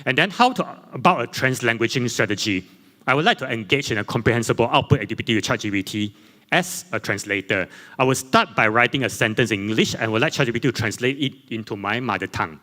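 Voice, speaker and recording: A man whose first language is Chinese gives a conference panel talk from his slides. He speaks haltingly, often repeating himself and correcting his words. His voice has a mid pitch and carries the long reverb of a large hall. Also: animated, pushed and bright. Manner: halting, many fillers and self-repairs. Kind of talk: academic presentation with slides.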